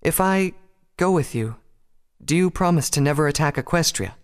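Pony princess for a fantasy audiobook, played by a man: lifted, higher register, soft but determined, earnest and regal. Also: animated, brisk. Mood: nervous, pleading. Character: gentle but resolute young pony princess.

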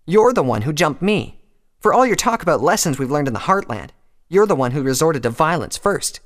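A brash female pegasus pony from a fantasy story, voiced by a man, pitched well above an everyday male voice. The delivery is scornful, taunting and emphatic, pushed out loudly with a harsh edge. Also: very animated, fairly quick.

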